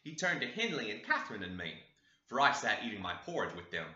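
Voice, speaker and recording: Chatty housekeeper voiced by a man, bright and echoey. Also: fairly low pitch, sing-song, brisk, voice pushed down, full character voice. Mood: reminiscing. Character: talkative, homely woman housekeeper telling family history. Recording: roomy, echoey, slightly dull sound.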